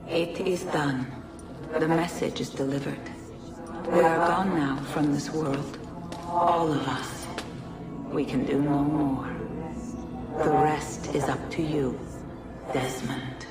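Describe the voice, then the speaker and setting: A woman voicing an ancient godlike being in a game cutscene, speaking in solemn, measured, formal oration. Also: low voice, fairly even intonation. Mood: grave, resigned. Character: ancient godlike female being of a dying precursor race, solemn. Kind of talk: dramatic game cutscene monologue. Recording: echoey, distant voice under loud music.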